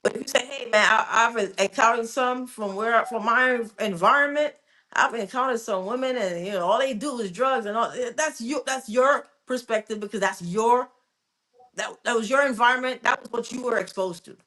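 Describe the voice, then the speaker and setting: A woman's voice: fairly high pitch, moderate pace, bright, forceful tone. Emphatic Jamaican-born woman co-host. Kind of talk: casual radio talk.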